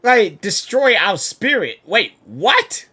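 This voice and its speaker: Female gaming reactor: raspy edge, expressive swings, exclamatory, informal. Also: medium pitch, slow pace. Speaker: gaming reaction video creator. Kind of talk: excited game reaction commentary.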